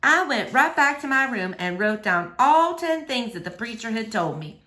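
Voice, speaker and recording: A woman reading a children's novel aloud as an expressive storyteller. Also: fairly high pitch, moderate pace, reverberant.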